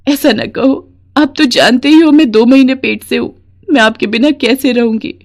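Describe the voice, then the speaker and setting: A gentle young-hearted wife from a moral storybook, acted by a woman narrator. She speaks earnestly, with a plaintive, pleading edge that suits a hard-working, wronged spouse. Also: sits high, moderate pace, voice pushed up. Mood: hurt, pleading. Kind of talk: dramatized Hindi moral story.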